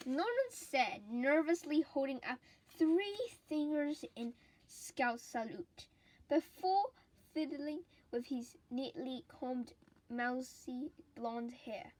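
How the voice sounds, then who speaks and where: A woman with a high voice reads a children's story aloud. She takes her time over the words, very slowly and deliberately, giving the narration an expressive shape as a storyteller would. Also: rough recording.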